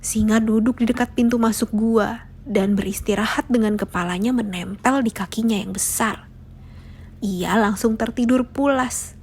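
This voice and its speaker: A woman storyteller reads a children's fable. Her voice sits fairly high and has a soft husky edge. The delivery is scripted narration for young listeners. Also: fairly even intonation, normal speed.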